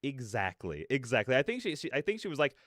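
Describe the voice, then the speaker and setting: A man hosting a reaction video, giving casual, slangy and excitable commentary. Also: mid-range voice, fast, no room sound, studio quality.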